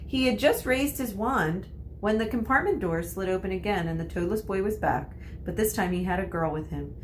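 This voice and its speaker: A woman's voice: mid-range voice, lively intonation, normal speed, slight background noise. A woman narrating a storybook aloud in the role of a teacher reading to pupils.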